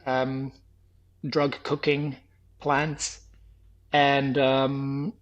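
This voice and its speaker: A man in his thirties from Switzerland, speaking with a Swiss accent that carries a faint trace of New Zealand, answering interview questions thoughtfully, with many restarts and pauses. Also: mid-range voice, monotone, lo-fi sound. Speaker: podcast guest, former health tech startup founder.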